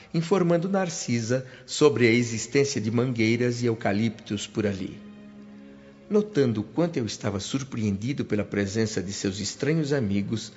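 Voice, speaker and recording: Long reverb and soft music surround an actor as an earnest Brazilian male spirit telling his own story. He narrates the radio drama solemnly and deliberately in literary phrasing, on a slightly muffled recording. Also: medium pitch, natural voice. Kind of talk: scripted first-person radio drama narration. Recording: dull lo-fi audio, long hall reverb. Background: background music.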